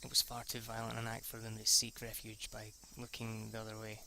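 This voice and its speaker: A man reading a visual novel aloud as an amateur, haltingly, stumbling and correcting himself with the odd swear word as an aside. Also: lowish voice, completely flat delivery, vocal fry, pushed and bright, slight background noise. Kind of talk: amateur read-through of a story.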